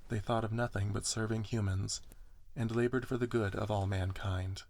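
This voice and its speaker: A man's voice: lowish voice, fairly even intonation, normal speed, gravelly, darkish tone. A man reading scripted first-person story narration in an ASMR style.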